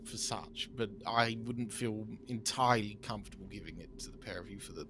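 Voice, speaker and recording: A man's voice: lowish voice, fairly quick, noisy background. A man playing a lawman in a roleplay game, explaining things in a formal, long-winded way. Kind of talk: in-character roleplay chat.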